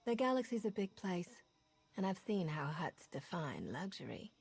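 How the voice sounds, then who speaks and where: Wry female smuggler captain; husky, expressive, dry humor. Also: fairly low pitch, moderate pace, faint music behind, exaggerated voice. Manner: wry, self-assured banter. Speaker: player character.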